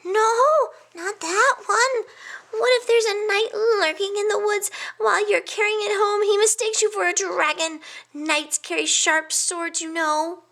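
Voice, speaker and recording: A woman voicing a small, anxious male porcupine who squeaks out his worried warnings. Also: steady tone, unhurried, fairly bright tone, heavily acted. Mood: fretful.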